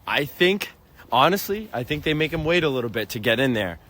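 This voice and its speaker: A man's voice: mid-range voice, sing-song, fairly quick, a bit bright. A man, a guest on a sports podcast, making firm, emphatic calls in casual chat. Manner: emphatic, declarative predictions.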